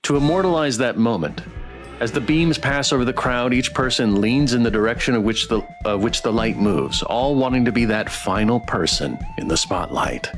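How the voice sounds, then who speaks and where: A man's voice: medium pitch, moderate pace, faint music behind. A man reading a visual novel aloud as a streamer, with a dramatic storyteller delivery. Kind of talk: expressive read-aloud narration.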